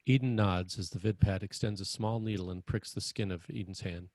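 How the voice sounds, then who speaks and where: A man's voice: fairly low pitch, steady tone, a little fast, a little mellow, no room sound. A man narrating screenplay stage directions in a scripted read.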